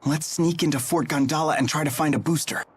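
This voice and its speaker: A man's voice: a little high, a little fast, slightly rough. An actor playing a keen, thoughtful young man in a game, speaking in an inquisitive, plain-spoken manner. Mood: earnest, curious. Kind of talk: English game dub acting. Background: light background music.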